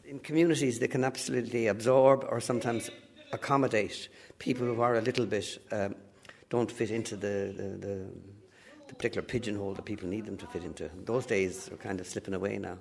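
Reflective man, lowish voice. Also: steady tone, fairly quick, gravelly, darkish tone. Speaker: actor interviewed. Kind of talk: reflective interview talk.

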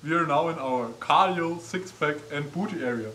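A man's voice: on the high side, moderate pace, fairly bright tone. A young man giving a casual, chatty walkthrough tour of an old wooden barn, with lots of ums, recorded on a camera mic from a distance. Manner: chatty, frequent ums, gestures while walking. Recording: echoey barn, distant mic, faint noise.

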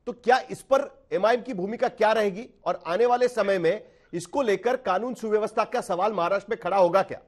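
A male news anchor with an Indian accent and a high-pitched voice. He puts long questions to a guest in a broadcast interview style, speaking from a TV studio. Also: fairly quick, hardly any pauses.

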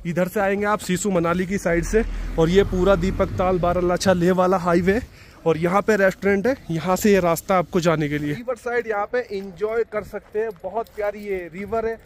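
A man's voice: high voice, steady tone, normal speed. A man guiding viewers on a casual mountain travel vlog, chatty and calling them brother, recorded outdoors. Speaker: travel vlogger and tour guide. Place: outdoors in mountain valley and on road. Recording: faint outdoor noise.

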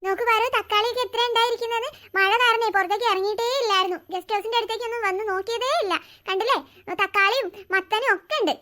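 A woman's voice: very high voice, steady tone, quick pace, hardly any pauses, bright, forceful tone. A woman voicing a caring, overworked mother in a family cartoon, fussing over and mildly scolding the children.

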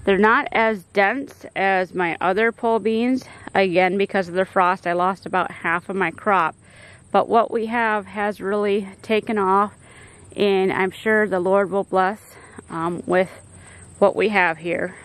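A middle-aged woman walks her garden and talks through each plant in a relaxed, explanatory way, dropping plenty of ums and uhs. She's filming outdoors on a handheld phone, her voice sitting at an ordinary middle pitch. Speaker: homestead gardener giving a garden tour. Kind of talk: casual garden tour narration. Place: outdoor backyard garden and greenhouse.

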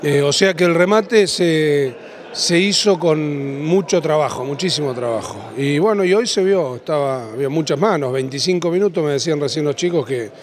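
A man in his thirties with an Argentine accent, answering interview questions as a livestock auctioneer, in a halting way that repeats and rephrases. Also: on the high side. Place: large indoor event hall after an auction. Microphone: handheld interview microphone.

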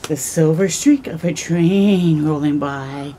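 Slow, mellow middle-aged woman reading aloud. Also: low-pitched, slight background noise. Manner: expressive, slow storytelling with gasps and drawn-out words. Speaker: children's storytime host. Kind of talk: read-aloud of a picture book with asides. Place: outdoors, porch or yard in early morning.

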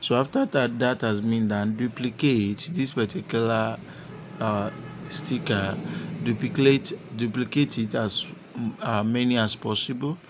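A man narrating a step-by-step software tutorial unscripted, with halting, repetitive instructional phrasing. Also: mid-range voice, background noise. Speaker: tutorial presenter.

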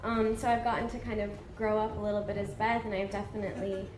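A woman's voice: mid-range voice, level tone, quick pace. A young woman in her twenties, an actor on a panel, talking playfully and with a laugh in her voice. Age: 20s. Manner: giggly, playful. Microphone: handheld mic. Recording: echoey tent, distant mic, noise.

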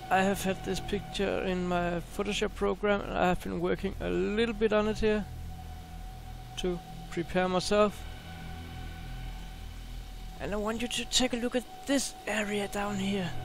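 High gravelly man. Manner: excited, chuckling, repeats words. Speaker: space video host. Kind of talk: casual screen-share commentary.

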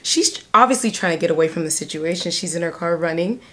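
A woman's voice: fairly low pitch, lively intonation, quick pace, a bit bright. Curious young woman co-hosting a reaction. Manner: curious, asks many questions. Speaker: co-host. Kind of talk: casual reaction chat. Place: indoor room, seated on couch.

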